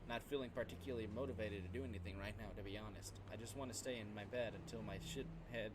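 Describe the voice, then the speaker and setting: A man's voice: lowish voice, fairly even intonation, quick pace, background noise, natural voice. A man voicing a proud, bitter, worn-out young woman journalist in a visual novel, weary and guarded.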